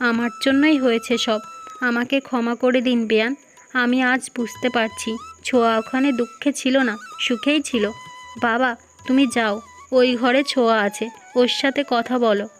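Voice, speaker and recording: A woman's voice: fairly high pitch, steady tone, moderate pace, soft background music, lightly acted. A woman playing a proud, protective middle-aged mother in a melodramatic cartoon, with an emphatic way of talking that repeats words. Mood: remorseful.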